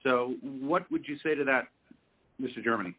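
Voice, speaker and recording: A man speaking in a formal, measured, lawyerly way as he negotiates on a conference call. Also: mid-range voice, husky. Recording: narrow, tinny phone line.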